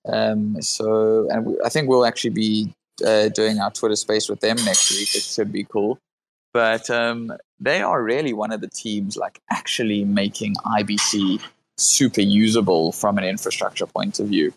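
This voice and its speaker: A man's voice: on the low side, fairly even intonation, normal speed, a little hiss or hum, low-quality recording. A man with a South African accent hosting a casual online audio chat, talking loosely with many fillers and restarts. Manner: filler-heavy, restarts often. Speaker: host. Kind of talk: casual Twitter space chat.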